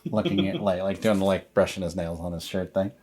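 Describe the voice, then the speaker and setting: Low-voiced man running a tabletop game. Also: fairly even intonation, brisk, warm tone. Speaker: game master, storyteller. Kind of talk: improvised tabletop game narration.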